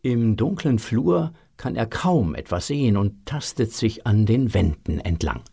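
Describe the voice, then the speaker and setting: A man narrating a scripted children's audio drama in a measured, suspenseful way. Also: on the low side, sing-song, gravelly, warm tone, slightly rough.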